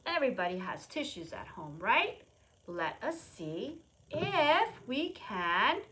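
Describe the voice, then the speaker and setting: Animated woman presenting a preschool storytime. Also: mid-range voice, low-quality recording. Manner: simple repetitive explanations with questions and prompts for small children; refers to self in third person. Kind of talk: children's lesson, demonstrating to young viewers at home.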